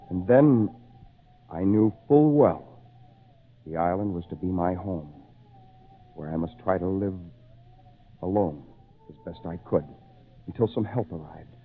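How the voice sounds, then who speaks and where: A tinny, slightly noisy vintage radio recording carries a lonely castaway man telling his story. His delivery is theatrical and earnest, with measured phrases, dramatic pauses and a very wide swing in pitch. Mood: bleak, resigned. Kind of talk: old-time radio drama acting, first-person narration. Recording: tinny, band-limited old radio recording, faint noise.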